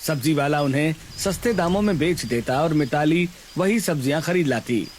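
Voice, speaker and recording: A man's voice: a little high, expressive, moderate pace, faint music behind. A man giving scripted narration for a family story in storybook style.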